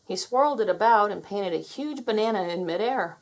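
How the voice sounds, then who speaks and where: A woman's voice: medium pitch, expressive, fairly quick. A woman narrating a children's story, reading aloud expressively.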